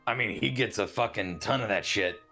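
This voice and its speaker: A man's voice: lowish voice, brisk, sharp tone, faint music behind. A man playing a tabletop game, narrating his character's actions casually and loosely. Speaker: player.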